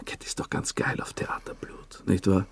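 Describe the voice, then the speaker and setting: An actor playing a menacing, taunting police inspector in a radio drama, speaking with an Austrian accent in an insinuating, domineering way. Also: male voice, normal speed, in a whisper, natural voice. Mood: goading, cruel.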